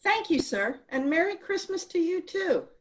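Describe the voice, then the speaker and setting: A woman playing a polite girl in the street in a story reading, with a husky voice. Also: very high voice, very animated, moderate pace. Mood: polite, cheerful.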